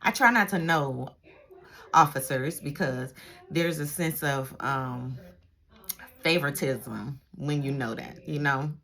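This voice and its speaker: Middle aged american lady, kinda low voice, chatty storyteller, repeats stuff to make her point. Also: slow pace. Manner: animated storytelling, acts out little exchanges, repeats phrases for emphasis. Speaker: vlogger, retired police dispatcher. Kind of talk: casual reminiscing chat to camera.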